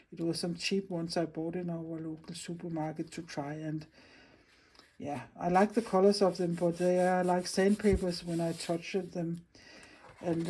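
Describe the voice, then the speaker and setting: A woman with a Danish accent chats through her craft plans in a friendly, unhurried way. Her voice sits a little low for a woman, and she often pauses and restarts as she searches for the right English word. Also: dark tone, a little hiss or hum, poor audio. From Denmark. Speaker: craft channel host. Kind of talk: casual craft vlog chat.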